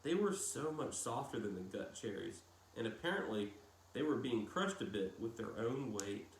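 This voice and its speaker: A man reading a novel aloud as a narrator, with measured phrasing and dramatic pauses and emphasis. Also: medium pitch, expressive. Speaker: audiobook-style reader. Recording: roomy echo with clear background noise.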